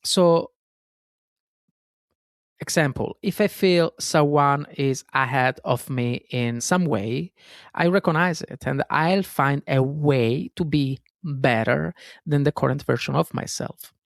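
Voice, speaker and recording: Gravelly Italian-accented man hosting a podcast. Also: fairly high pitch, slow, no room sound, studio quality. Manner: earnest, self-correcting, frequent fillers and "guys". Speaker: podcast host. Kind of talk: solo self-help podcast monologue.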